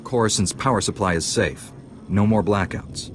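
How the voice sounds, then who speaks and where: An actor playing a young, earnest and dutiful knight in a video game, speaking calmly. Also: male voice, on the low side, normal speed, a little hiss or hum, lightly acted. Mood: calm, businesslike. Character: earnest, steady young male Jedi knight. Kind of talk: video game character acting. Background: music.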